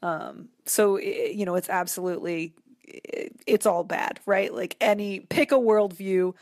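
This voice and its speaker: A woman's voice: mid-range voice, unhurried, a little mellow, dry recording, clean studio sound. An American woman speaking as a guest in a casual, conversational interview, thoughtful and colloquial, peppering sentences with "like" and "you know". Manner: thoughtful, colloquial, frequent 'like' and 'you know'.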